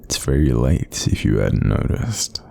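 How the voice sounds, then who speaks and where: Casting: Australian male actor as a gentle bedside demon, mellow and soft-spoken with lively intonation, self-deprecating and reassuring, for intimate roleplay audio. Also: measured pace, a little hiss or hum, heavily acted. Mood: wry, gentle. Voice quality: very deep, breathy, creaky. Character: kindly, apologetic male sleep paralysis demon comforting someone.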